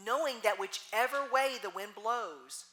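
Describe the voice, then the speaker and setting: A middle-aged woman minister with a Texas accent, preaching a gentle, reflective sermon that slows into soft pauses. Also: a little high, expressive.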